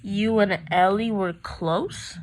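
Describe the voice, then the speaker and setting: A woman's voice: mid-range voice, fairly even intonation, slow, a little hiss or hum, natural voice. A woman playing an earnest, determined detective's assistant who narrates a radio play in plain first-person storytelling. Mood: calm.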